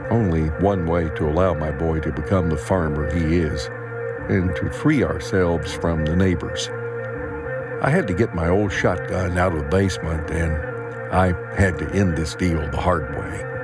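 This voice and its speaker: Man acting a deep-voiced, weary old farmer. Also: normal speed, natural voice. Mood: burdened, regretful, then grim resolve. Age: older. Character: weary old farmer, trapped and regretful father. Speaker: actor in an audio drama. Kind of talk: dramatic monologue telling a life story. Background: music.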